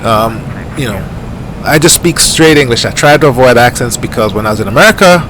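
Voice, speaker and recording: A middle-aged man from Jamaica with a light Jamaican accent, chatting casually and rambling with plenty of fillers while flying a simulator. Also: fairly quick. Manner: rambling, easygoing, many fillers. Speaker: flight sim streamer. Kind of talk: casual gameplay commentary. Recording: steady aircraft engine rumble behind.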